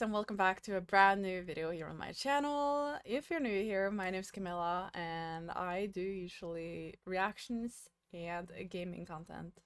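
A young woman hosting a movie reaction video from her bedroom, her voice a little raspy from just waking up, chatting casually and exclaiming at scenes. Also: medium pitch, fairly bright tone, dry recording. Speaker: movie reaction channel host. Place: small home bedroom studio. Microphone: studio mic on arm, headphones.

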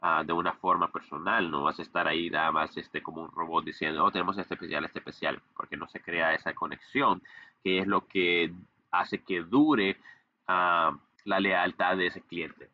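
A man's voice: sits low, fairly even intonation, moderate pace, bright, forceful tone, dry recording, dull audio. A young man who runs a digital marketing agency, giving a casual screen-share tutorial with lots of fillers and restarts, recorded on a webcam. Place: indoor room, webcam in corner of screen recording.

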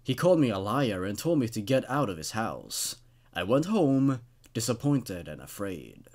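A man's voice: on the low side, sing-song, moderate pace, a little mellow. Young man reading and reviewing stories. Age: 20s. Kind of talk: reading stories aloud with casual commentary.

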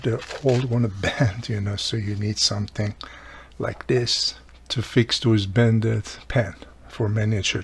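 A man with a husky, gravelly voice, explaining a hands-on repair in a plain-spoken, informal way. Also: medium pitch. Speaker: host of a radio repair how-to series.

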